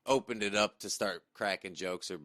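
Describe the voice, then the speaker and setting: A man's voice: fairly low pitch, moderate pace, sharp tone, dry recording. A male radio co-host critiquing and teasing in casual studio banter.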